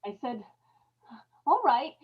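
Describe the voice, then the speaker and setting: A woman's voice: sits high, big pitch swings, breathy edge, heavily acted. Haunted wife confessing murder, female actor, intense and emphatic, old-time radio horror style, slightly muffled lo-fi sound. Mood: grim. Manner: intense, emphatic, repetitive storytelling with dramatic pauses. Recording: dull lo-fi live radio-play audio.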